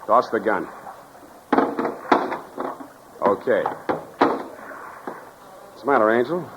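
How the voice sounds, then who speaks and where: An actor playing a tough, wisecracking private detective in the style of 1940s radio drama. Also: male voice, fairly low pitch, measured pace, close to an everyday voice. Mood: wry, taunting. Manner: wisecracking, sardonic, calls women baby and sweetheart. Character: wry, tough, smooth-talking male private detective. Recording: noisy, muffled 1940s radio recording.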